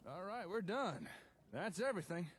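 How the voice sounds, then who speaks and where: An actor playing a chatty, enterprising tinkerer in a wasteland game, talking in a folksy, easygoing, encouraging way, with faint noise. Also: male voice, sits high, big pitch swings, moderate pace. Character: chatty, enterprising male tinkerer guiding wasteland settlement builders. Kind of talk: in-game character dialogue.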